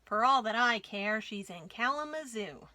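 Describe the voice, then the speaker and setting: A woman voicing a gruff, fed-up male train crew boss with a growling delivery in a storybook. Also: on the high side, expressive, moderate pace, bright, forceful tone, a little hiss or hum.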